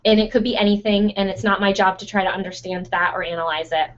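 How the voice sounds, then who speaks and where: A young woman who runs a photo studio, answering listener questions in a chatty, casual way with frequent fillers and laughs, her voice going hoarse. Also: medium pitch, fairly even intonation, fast talker. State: losing her voice. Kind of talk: casual Q&A monologue. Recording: dull lo-fi sound.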